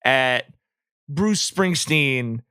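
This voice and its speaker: A man's voice: medium pitch, expressive, very slow, sharp tone, clean tone, no room sound, studio quality. A man co-hosting a podcast, thinking aloud in casual, analytical discussion and checking that others follow. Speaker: podcast co-host.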